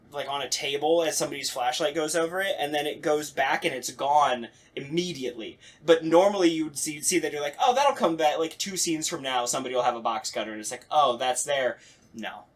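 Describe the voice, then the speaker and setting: A man hosting a casual podcast chat, telling stories animatedly and acting out imagined reactions. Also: a little high. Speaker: podcast host. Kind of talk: casual chat about radio plays.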